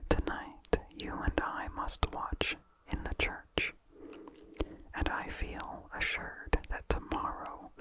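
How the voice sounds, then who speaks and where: A narrator reading a vintage horror story aloud in a breathy, unvoiced ASMR whisper, with measured, deliberate phrasing and soft mouth sounds close to the microphone. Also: low-quality recording. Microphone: close microphone with incidental mouth sounds.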